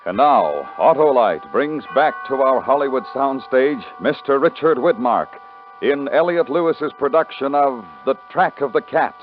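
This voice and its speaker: A man announcing a radio drama in a smooth, formal, dramatic manner. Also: medium pitch, lively intonation, measured pace. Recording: tinny 1950s radio broadcast with music.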